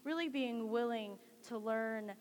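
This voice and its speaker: A young woman from California giving an earnest, measured talk as a guest speaker at a campus assembly. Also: on the high side, a little hiss or hum. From Central Valley, California, United States. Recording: long hall reverb.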